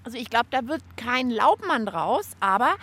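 High, lively young woman co-hosting. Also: normal speed, husky. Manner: cheerful and chatty, thinks aloud. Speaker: children's radio co-host. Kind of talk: lively hands-on radio chat.

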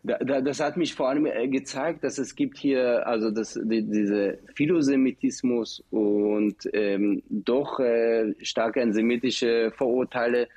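A man with an Israeli accent, a guest on a radio discussion, speaking haltingly with many fillers and restarts. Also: mid-range voice, steady tone, mellow. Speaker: studio guest, professor.

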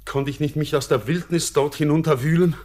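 An actor playing a haunted young miner, torn and feverish, speaking in a hushed, anguished manner in a classic radio play. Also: male voice, on the high side, fairly even intonation, a little fast, close to an everyday voice. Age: young adult. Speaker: Elis, the miner.

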